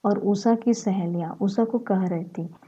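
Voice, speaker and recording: A woman with a slightly husky voice, narrating a short story. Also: medium pitch, unhurried, warm tone, highs cut off.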